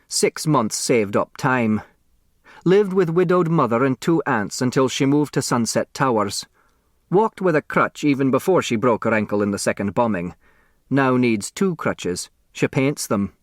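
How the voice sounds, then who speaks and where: A man's voice: mid-range voice, no room sound, lightly acted. Audiobook part: earnest, folksy doorman, read by a man, plodding clipped delivery, dry recording. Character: earnest, folksy doorman reporting from his notes.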